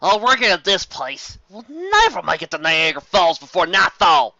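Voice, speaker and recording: Man as grumbling country mare, storybook character, plain-spoken, bright voice, lo-fi recording. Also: high-pitched, huge pitch swings, moderate pace, gravelly, no echo, voice pushed up, heavily acted. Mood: fretful.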